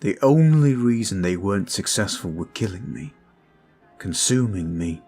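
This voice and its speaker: A man voicing a brooding male vampire in an ASMR roleplay, talking in a slow, intimate, confiding way. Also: fairly low pitch, animated, darkish tone, breathy edge, faint music behind.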